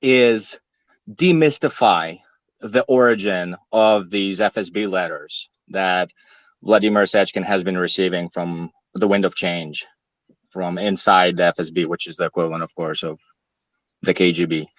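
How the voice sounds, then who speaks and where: A man in his thirties, born in Ukraine, telling his own story in a measured, halting way, with frequent fillers. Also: deep voice, steady tone, dry recording. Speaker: podcast speaker. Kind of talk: monologue, personal account.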